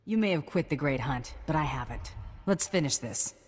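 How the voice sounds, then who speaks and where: A woman's voice: low voice, lively intonation, moderate pace, slightly rough, long reverb tail. A woman playing a tough, dryly confident bounty hunter in a video game, speaking in a terse, businesslike way. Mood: cool, dry.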